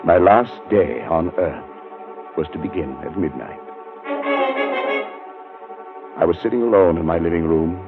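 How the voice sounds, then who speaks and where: A dread-ridden man with a deep voice, recounting his own doom. His delivery is theatrical and deliberate, in the manner of old radio horror. The recording is dull and aged, with music behind it. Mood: tense, fearful. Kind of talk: vintage radio horror acting, first-person narration.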